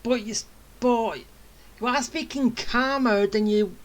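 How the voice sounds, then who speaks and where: A woman giving casual, halting gameplay commentary and talking back to the characters. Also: fairly high pitch. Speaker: gameplay streamer reacting to story.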